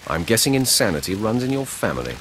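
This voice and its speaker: An actor playing a cold, sardonic Sith lord in a video game, terse and commanding. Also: male voice, fairly low pitch, very animated, fast talker, fairly bright tone, slight background noise, low-quality recording. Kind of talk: video game hero dialogue.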